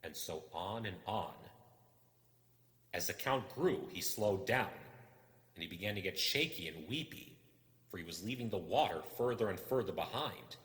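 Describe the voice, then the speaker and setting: A man's voice: sits low, animated, moderate pace, fairly bright tone. A man reading a story aloud as dramatic, suspenseful audiobook narration with a theatrical delivery. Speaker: audiobook reader. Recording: echoey, long reverb.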